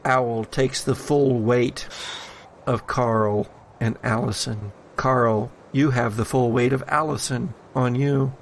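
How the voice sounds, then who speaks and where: A man acting as game master, narrating a tabletop horror game with deliberate dramatic pauses. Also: medium pitch, steady tone, a little hiss or hum.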